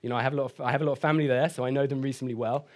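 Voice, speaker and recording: A man in his thirties answering questions after a keynote at a conference, hedging often and speaking quickly. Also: mid-range voice, steady tone. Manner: hedging, sort of, you know. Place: conference hall stage.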